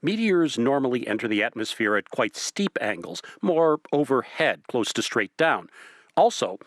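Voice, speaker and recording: A man playing a measured, skeptical professor who explains things in a precise, formal way in a radio drama. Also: medium pitch, very animated, no echo, clean studio sound, lightly acted. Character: measured, skeptical male professor giving expert analysis. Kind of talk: radio drama acting as a phone interview.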